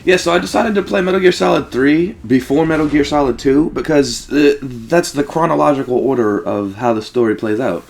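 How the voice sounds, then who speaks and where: A man giving casual, rambling, profanity-laced gaming commentary with excitable fan talk. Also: fairly high pitch, fairly quick, creaky, hardly any pauses, slight background noise. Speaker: let's play host.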